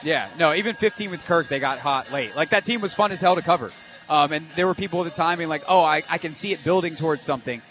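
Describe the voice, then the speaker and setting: A man hosting a live sports radio show at an event, talking in a fast, animated and emphatic way. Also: on the high side, faint music behind.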